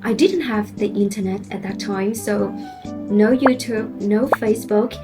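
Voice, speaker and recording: A woman's voice: mid-range voice, measured pace. A young woman in her late twenties with a Vietnamese accent, a native Vietnamese speaker, talking to camera in a casual vlog, earnest and a little nervous, with frequent "you know" fillers. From central Vietnam. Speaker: vlogger. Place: at her desk at home. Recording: soft music behind the voice.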